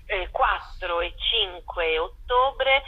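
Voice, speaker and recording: A woman giving an informal radio interview as a guest theatre artist, halting and repeating words as she searches for phrasing, over a telephone line. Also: mid-range voice, lively intonation, slightly rough.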